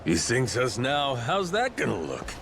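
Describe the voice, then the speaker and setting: An actor playing a cocky, streetwise pirate yakuza captain in a video game, casual, brash and slangy. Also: male voice, medium pitch, wildly swooping pitch, unhurried, gravelly, fairly bright tone, a little hiss or hum, heavily acted. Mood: cocky, easygoing. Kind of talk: video game dialogue acting.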